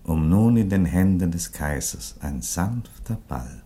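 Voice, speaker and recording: An actor playing an ironic, dignified Roman emperor in a German radio play, speaking in a measured, theatrical way. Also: male voice, low-pitched, lively intonation, warm tone. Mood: wistful, lyrical. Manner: measured, theatrical, lingering on phrases. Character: ironic, dignified Roman emperor resigning his empire, male.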